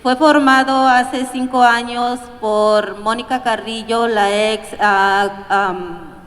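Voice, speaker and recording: A woman from Mexico with a Mexican Spanish accent, speaking haltingly and nervously at a community panel, with many pauses and fillers. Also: on the high side, fairly even intonation, fairly bright tone. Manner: hesitant, nervous, pausing with fillers. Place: museum event hall. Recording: echoey hall with long reverb.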